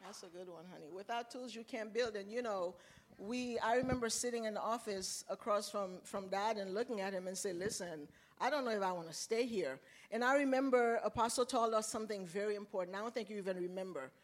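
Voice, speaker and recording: A woman's voice: mid-range voice, brisk. Earnest woman from Haiti giving testimony. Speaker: ministry leader sharing testimony.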